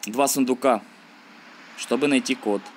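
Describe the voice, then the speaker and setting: A man's voice: medium pitch, measured pace. Man doing casual gameplay commentary over noise. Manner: slangy, thinking aloud, grumbling. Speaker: gaming video host. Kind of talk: casual let's-play commentary.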